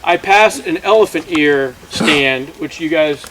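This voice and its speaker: A man's voice: on the high side, a little slow, background noise. A man telling a comic story to a live audience, exaggerating and acting out the dialogue as he goes. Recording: loud audience laughter behind. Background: laughing crowd.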